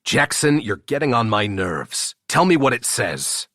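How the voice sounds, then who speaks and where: A man's voice: medium pitch, big pitch swings, a little slow, pushed and bright, dry recording, clean studio sound, voice pushed up. A man voicing an irritable, commanding billionaire boss with a terse, blunt, exasperated manner in an audiobook. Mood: annoyed. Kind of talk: audiobook character dialogue.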